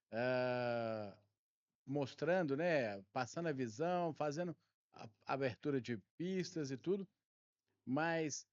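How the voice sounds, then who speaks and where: Brazilian-accented male host, mid-pitched, halting questions, dry dull recording. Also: no room sound. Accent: Brazilian Portuguese. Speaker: podcast host. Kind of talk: interview questions.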